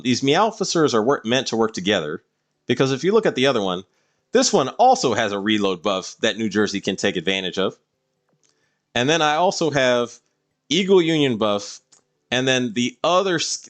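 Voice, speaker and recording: A man's voice: mid-range voice, a bit bright. A man doing casual live-stream game commentary, explaining things to viewers in a rambling way.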